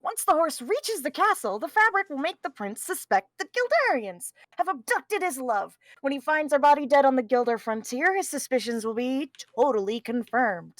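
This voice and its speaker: A woman's voice: sits high, very animated, normal speed, hardly any pauses, no room sound. A woman playing a tiny, imperious Sicilian man who schemes and sneers in a theatrical table read. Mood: scheming.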